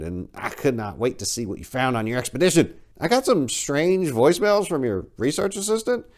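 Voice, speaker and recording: A man's voice: mid-range voice, pitched above the performer's own voice, natural voice. A man voicing a pushy, cheery old priest and college dean in a story reading, effusive and brash.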